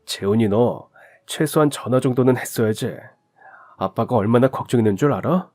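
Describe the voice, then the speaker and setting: A man's voice: medium pitch, moderate pace, a little mellow, no echo, clean studio sound, close to an everyday voice. A man voicing a worried middle-aged father scolding his young son for not calling home. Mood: stern. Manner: stern reproach.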